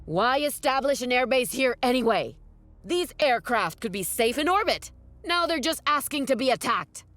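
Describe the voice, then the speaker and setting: An actress playing a blunt, skeptical field officer in a video game, speaking in a businesslike, dry way. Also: female voice, high voice, animated, normal speed, fairly bright tone, no room sound, soft background music. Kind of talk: scripted video game acting.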